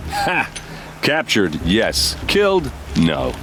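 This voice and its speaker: An actor playing a boastful, flirtatious and slightly awkward soldier in a video game cutscene. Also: male voice, on the low side, huge pitch swings, drawn-out words, noisy background, full character voice. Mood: boastful, casual.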